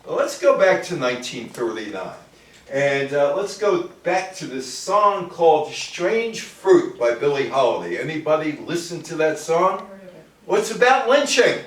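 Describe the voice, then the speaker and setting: Male lecturer, slightly higher-pitched voice, halting talk from notes with asides, recorded far from the mic. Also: sing-song, a bit bright. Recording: very echoey room, distant microphone.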